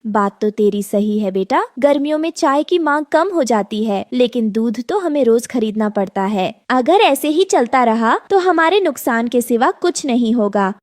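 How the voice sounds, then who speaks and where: Casting: woman to play a practical, reassuring cartoon bird mom, slightly high. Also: lively intonation, moderate pace, hardly any pauses, a little mellow, dry recording, studio quality, close to an everyday voice. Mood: concerned. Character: kind, practical mother bird tea seller in a cartoon.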